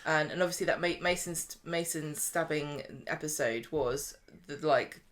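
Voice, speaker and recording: Female podcast co-host, slightly low voice, informal and meandering. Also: normal speed. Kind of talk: casual chat about a TV soap.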